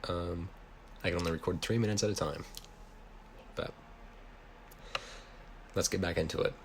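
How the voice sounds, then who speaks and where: An American man with a low voice reads a story he wrote. He works from a written text as an amateur storyteller. There is faint noise in the home recording. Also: steady tone, moderate pace, creaky. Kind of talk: reading own written story aloud.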